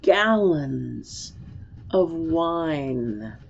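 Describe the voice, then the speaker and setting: A woman's voice: low voice, expressive, extremely slow, creaky, slightly rough, lo-fi sound. A woman storyteller giving a dramatic reading of a story.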